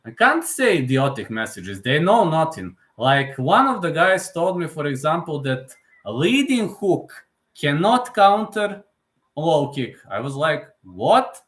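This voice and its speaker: A man's voice: a little high, big pitch swings, a little slow, fairly bright tone. A man with a Serbian accent, a native Serbian speaker, chatting casually on a livestream, answering viewers with opinionated, lecturing talk and frequent hesitations. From Balkans. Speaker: MMA analyst streamer.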